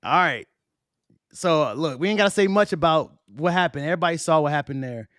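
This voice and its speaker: A man hosting a podcast in a casual, slangy way, his voice hoarse and fading. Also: a little high, a little fast, fairly bright tone, no echo, studio quality, soft background music. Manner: laid-back, slangy, conversational. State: losing his voice. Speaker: podcast host.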